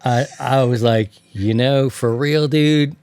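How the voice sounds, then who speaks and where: A man's voice: mid-range voice, slow pace, a little mellow, clean tone, no room sound. A man hosting an amateur radio show, telling anecdotes in a casual, slangy way and acting out remembered exchanges. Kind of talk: casual anecdotal storytelling to co-hosts.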